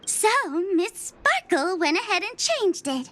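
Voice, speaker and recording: Mischievous trickster girl, taunting, theatrical, high swooping voice, anime game. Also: big pitch swings, a little slow, a bit bright, heavily acted. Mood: smug teasing. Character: mischievous, teasing young female trickster, smug and theatrical. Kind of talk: anime-style video game acting. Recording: music behind.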